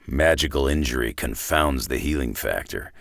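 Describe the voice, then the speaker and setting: An actor playing a gruff, world-weary, tough older brawler in a video game, with a gravelly, raspy growl and a blunt, laconic delivery. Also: male voice, extremely low pitch, normal speed, fairly bright tone, dry recording, clean studio sound, full character voice. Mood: dry, wry. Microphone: close, dry studio microphone.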